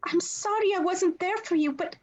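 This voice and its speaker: Woman voicing a very high-pitched pleading little monster guy. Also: moderate pace, crowd noise. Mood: earnest, wounded. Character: earnest, fast-talking little male monster sidekick Mike. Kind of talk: cast table read of a film script.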